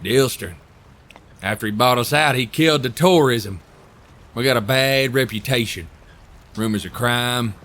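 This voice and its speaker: An actor playing a gruff, hostile fisherman who talks in blunt folksy dialect and distrusts outsiders, in an audio drama. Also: medium pitch, a little slow, long pauses, a bit bright, lightly acted. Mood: grudging, warning.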